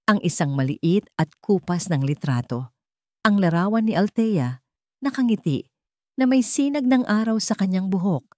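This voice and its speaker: Low-voiced woman reading a drama. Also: a little mellow, no room sound, studio quality. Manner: measured, solemn storytelling. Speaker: story narrator. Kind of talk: scripted dramatic narration.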